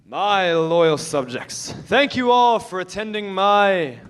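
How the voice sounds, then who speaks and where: A man's voice: high-pitched, big pitch swings, slow pace, smooth, full character voice. A young man playing a bossy teenage boy who acts like a king, in a staged reading of a musical. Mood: swaggering. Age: young adult. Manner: grandiose, swaggering.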